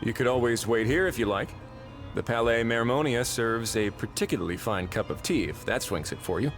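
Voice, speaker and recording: A man's voice: mid-range voice, animated, a little fast, lightly acted. A man voicing a shrewd, composed official with dry, wry authority in a video game. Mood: wry, assured. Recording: faint music behind the voice.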